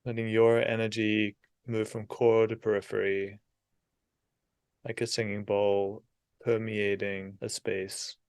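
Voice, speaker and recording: Slow, smooth-voiced man guiding meditation. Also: lowish voice, little pitch movement, darkish tone, no room sound. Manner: soothing, unhurried, with long pauses between short phrases. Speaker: meditation guide, therapist. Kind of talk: guided meditation.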